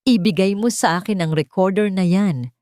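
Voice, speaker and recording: A woman's voice: medium pitch, moderate pace, darkish tone, dry recording, clean studio sound, close to an everyday voice. A woman voicing a villainous male lawyer in an audio story, agitated, threatening and gloating. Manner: menacing, agitated.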